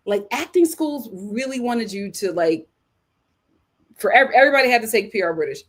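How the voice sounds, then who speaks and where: A woman hosting a casual livestream, chatting and giving opinions in a theatrical, playful, emphatic manner with drawn-out words. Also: on the high side, lively intonation. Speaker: livestream host reacting to viewer messages. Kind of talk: casual live chat and opinion commentary.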